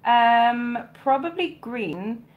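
A woman's voice: on the high side, expressive, extremely slow, narrow, tinny audio. Chatty woman with a mild South Welsh accent. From South Wales. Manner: chatty, self-mocking, jokey asides. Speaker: vintage clothing reseller, livestream host. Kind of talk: casual live stream chat reading comments. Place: home bedroom with shelves, indoors. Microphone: webcam or phone close up.

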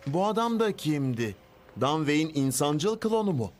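A man's voice: fairly high pitch, big pitch swings, measured pace, a little hiss or hum. A man playing an anxious, earnest teenage boy gunner in a dubbed cartoon, often incredulous. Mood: puzzled, earnest.

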